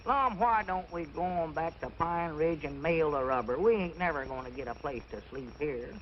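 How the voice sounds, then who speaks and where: A muffled old radio recording of a man playing a simple-minded country bumpkin. His voice is high and plaintive, with a rustic Southern accent. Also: normal speed, a little hiss or hum, close to an everyday voice. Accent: Southern American. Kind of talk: 1940s radio comedy acting.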